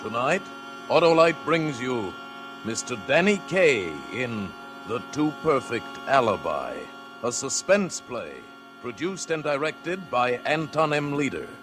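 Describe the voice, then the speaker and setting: A male announcer presents in dramatic 1940s radio style. He is slow and swooping in pitch, over prominent music on a vintage recording. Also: sing-song.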